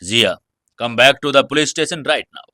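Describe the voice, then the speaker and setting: A man's voice: medium pitch, expressive, normal speed, bright, forceful tone, dry recording, studio quality. A man playing a commanding senior police officer giving firm orders in an audio story. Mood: urgent, commanding.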